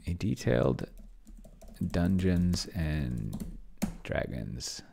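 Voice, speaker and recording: A man's voice: low voice, expressive, very slow, gravelly, warm tone, a little hiss or hum. A middle-aged man casually commenting on a live stream, thinking aloud and muttering in fragments as he types. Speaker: streamer and solo role-player. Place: small indoor home room. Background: computer keyboard typing.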